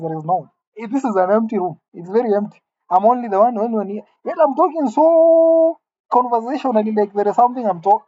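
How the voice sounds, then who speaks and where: A man's voice: sits high, darkish tone, lo-fi sound, a little hiss or hum. A man in his late twenties musing aloud to the camera in a wry, rambling, self-mocking way, alone in an empty room. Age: late 20s. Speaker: vlogger. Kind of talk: musing solo monologue to camera.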